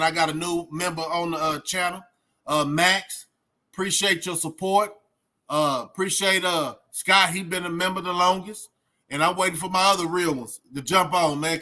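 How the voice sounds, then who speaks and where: High, bright-voiced man ranting casually. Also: steady tone, moderate pace. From Texas, United States. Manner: streetwise slangy talk with frequent "man" and "bro". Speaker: host of a reaction livestream. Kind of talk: casual opinionated rant to viewers.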